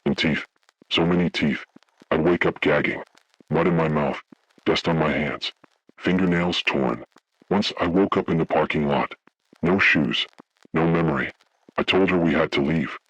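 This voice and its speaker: A man voicing a haunted, grave survivor, narrating a scripted first-person horror story in slow, deliberate, ominous phrases with a gravelly, husky voice. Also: extremely low pitch, a bit bright, no echo, lo-fi sound, exaggerated voice. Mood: grave dread. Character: haunted man recounting childhood motel horror, grave, dread-filled.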